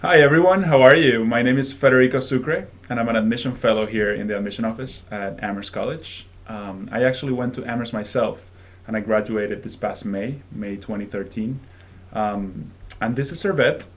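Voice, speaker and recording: A man's voice: medium pitch, moderate pace. A young man from Venezuela in his twenties, an admission fellow giving a friendly, conversational presentation to prospective students with frequent ums. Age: 20s.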